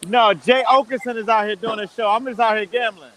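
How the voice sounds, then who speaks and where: A man's voice: very high-pitched, fairly even intonation, brisk, pushed and bright, rough recording. A man joining a show as a guest and chatting casually. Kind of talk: casual chat.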